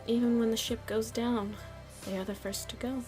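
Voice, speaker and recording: Casting: actress as shrewd, proud mob headliner, female, higher voice, haughty and taunting, ASMR roleplay drama, music underneath. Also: fairly even intonation, moderate pace, natural voice, rough recording. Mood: confident, scheming. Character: shrewd proud nightclub headliner and businesswoman in the mafia.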